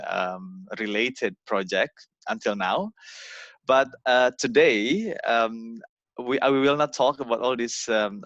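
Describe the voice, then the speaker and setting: Young man, low voice, dry sound, halting presentation full of fillers, video call. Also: fairly bright tone, no echo, dull audio. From Indonesia. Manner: halting, many fillers and repeated words. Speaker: guest speaker. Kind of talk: informal online presentation.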